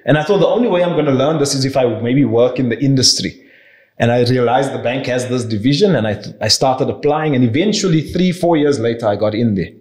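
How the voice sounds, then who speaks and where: A South African man in his forties, guest on an interview podcast, telling stories in an animated, chatty way into a boom mic. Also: fast. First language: not Afrikaans. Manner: animated, laughing storyteller. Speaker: guest, property investor. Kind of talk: casual interview storytelling. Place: furnished studio room with bookshelves. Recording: roomy studio echo.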